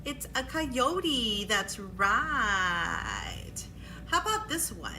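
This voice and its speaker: A woman's voice: on the high side, very animated, drawn-out words, sharp tone, faint music behind. A woman hosting a storytime for young children, encouraging and exclamatory, prompting her listeners with questions during songs and guessing games. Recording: echoey room, faint background noise.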